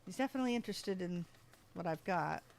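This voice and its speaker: A gaming streamer with a mid-pitched voice narrates play in a casual, offhand way. The talk drifts between thinking aloud and addressing animals in the game. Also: lively intonation, fast talker, creaky, slight background noise. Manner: talks to game animals and self. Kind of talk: casual gameplay commentary.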